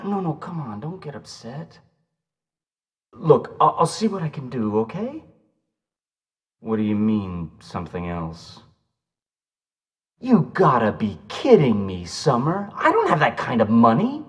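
An actor playing an anxious young slacker in a video game, with a casual drawl and a defensive, pleading manner. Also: male voice, medium pitch, huge pitch swings, a little slow, long pauses, slightly rough, dry recording, exaggerated voice. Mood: flustered, pleading. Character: whiny, anxious young slacker man, pushed around by his girlfriend.

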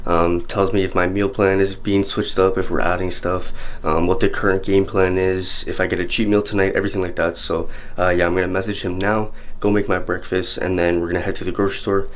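A man's voice: low voice, flat delivery, fairly quick. A young man in his twenties talking casually to his camera in a bedroom, an easygoing fitness vlogger. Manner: easygoing, chatty, lots of "guys". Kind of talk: casual vlog talk to camera. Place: small bedroom indoors, later a parked car.

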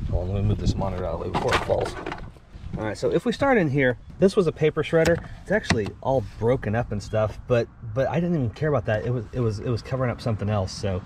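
A man in his thirties in a casual reseller vlog, chatting in a rambling, upbeat way as he sorts through his finds. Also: medium pitch. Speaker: reseller vlogger. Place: outdoors at a yard auction, then by a car trunk. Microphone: head-mounted action camera. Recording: loud background noise.

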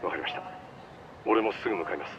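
A man's voice: sits low, rapid-fire, close to an everyday voice. A man voicing a shrewd, polite, easygoing underworld informant in a video game cutscene, explaining things casually over the phone. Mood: casual, cautionary. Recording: dull phone-call sound with street noise.

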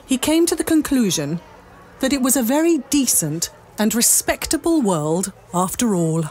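A woman narrating a dramatic scripted story in measured, deliberate phrases. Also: a little high, big pitch swings, a little mellow. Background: music.

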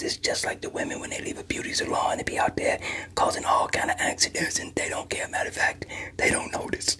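Whispering older man, ASMR barber roleplay. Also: sharp tone. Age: 50s or older. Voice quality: breathy, mostly unvoiced whisper. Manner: unhurried, reassuring, chatty to a client. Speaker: ASMR creator role-playing a barber. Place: small room at home, indoors.

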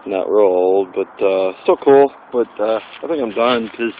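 A man chatting excitedly while digging for old bottles in the woods, recorded on a handheld camera. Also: fairly low pitch, measured pace. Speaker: metal detecting vlogger. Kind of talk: casual outdoor vlog narration. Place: wooded area outdoors. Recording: tinny lo-fi camera audio with noise.

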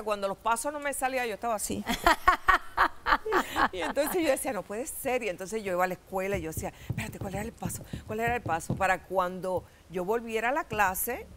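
A middle-aged Puerto Rican woman, an actress, telling stories as a guest in a TV studio interview, animated and gesturing. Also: fairly high pitch, a little fast, noisy background. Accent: Puerto Rican Spanish. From Puerto Rico. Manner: animated storytelling with gestures, laughs and acted-out quotes. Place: TV studio set.

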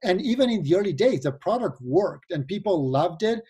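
A man's voice: sits high, very animated, moderate pace, dark tone, breathy edge. A man in his forties from Argentina with an Argentine accent, explaining earnestly as an investor guest over a video call. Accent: Argentine Spanish. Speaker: venture capital investor guest. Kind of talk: remote video interview.

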